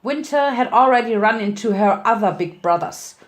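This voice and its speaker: A woman's voice: fairly high pitch, animated, moderate pace. A woman giving a dramatic narrated reading of a story. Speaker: fan fiction reader.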